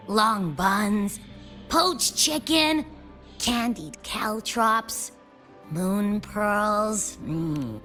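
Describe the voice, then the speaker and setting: A woman's voice: medium pitch, fairly bright tone, heavily acted. A woman voicing a bubbly, food-loving, whimsical young girl companion in a video game, dreamy and dramatic.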